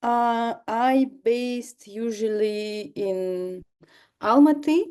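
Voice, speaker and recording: A young adult woman from northern Kazakhstan, a native Kazakh speaker, giving a seminar lecture over a video call, halting with many fillers and restarts. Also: fairly high pitch, mellow, clean tone. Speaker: guest lecturer, artist. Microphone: computer mic on video call.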